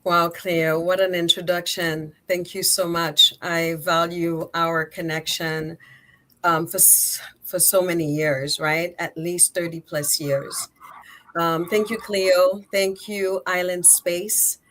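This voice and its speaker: A woman's voice: lowish voice, fairly even intonation, slow, a bit bright. A middle-aged woman with a Haitian accent, a native Creole speaker, answering conversationally as an interview guest. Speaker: guest, nonprofit executive director. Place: remote video call.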